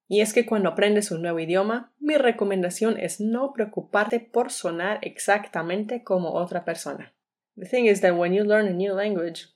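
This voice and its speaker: A woman hosting a bilingual Spanish lesson podcast, speaking with a blend of Mexican and Colombian accents in a calm, measured way and repeating each sentence in English. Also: lowish voice. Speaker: Spanish teacher and podcast host.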